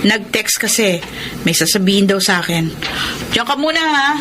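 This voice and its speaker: An actress playing a dutiful, earnest young elder sister and daughter in a Filipino radio drama. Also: female voice, medium pitch, animated, moderate pace, noisy background, lightly acted. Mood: brisk, caring. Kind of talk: Tagalog radio drama acting.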